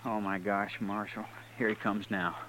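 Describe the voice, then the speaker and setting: An actor playing an earnest, fearful American farm son in a 1950s radio western, plain and deferential. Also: male voice, on the low side, flat delivery, moderate pace, lightly acted. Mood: subdued, nervous. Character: earnest, fearful farm son under his father's thumb. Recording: old radio recording with faint noise.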